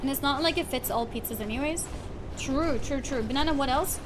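A woman's voice: high-pitched, fairly quick. A young adult woman chatting casually while streaming a game. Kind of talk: casual gaming chat. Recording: clear background noise, keyboard typing.

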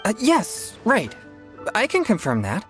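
A woman's voice: mid-range voice, wildly swooping pitch, a little slow, soft background music. A woman voicing an eager, cheerful young girl in a video game. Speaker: game character.

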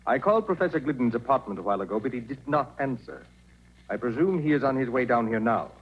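An actor playing a precise, formal, impatient museum director in a 1940s radio drama. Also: male voice, medium pitch, animated, quick pace, husky. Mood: formal, concerned. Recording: dull 1940s radio recording.

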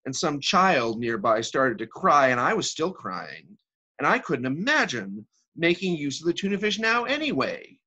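A man's voice: on the high side, very animated, moderate pace, bright, forceful tone, husky, voice pushed up, full character voice. A man acting a self-pitying, exasperated woman in her thirties in a rambling, emphatic comic stage monologue. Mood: indignant, frantic. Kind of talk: theatrical comic monologue reading.

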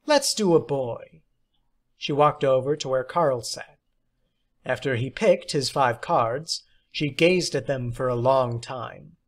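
A man's voice: mid-range voice, measured pace. A man reading a short story aloud as scripted audiobook narration. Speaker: audiobook reader.

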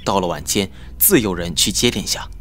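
A man's voice: low voice, fairly quick, background noise, close to an everyday voice. A man playing a discreet, cautious court envoy in a historical audio drama, formal and careful. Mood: grave, urgent.

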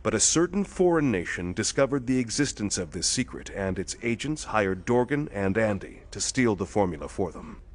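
A steady police detective from a pulp thriller audio drama. His voice is fairly low, and he lays out the facts in a quiet, even, methodical way with firm authority. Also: moderate pace, hardly any pauses. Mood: grave, explaining.

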